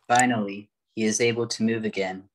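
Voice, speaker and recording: Older man, lower-pitched voice, radio play announcer, scripted narration, reading stage directions. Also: steady tone, a little fast, noisy background.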